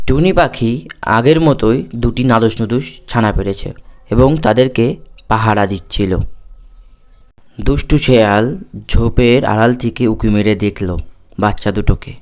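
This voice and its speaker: A man's voice: lowish voice, moderate pace, pauses often. A man narrating a scripted cartoon fairy tale as the storyteller.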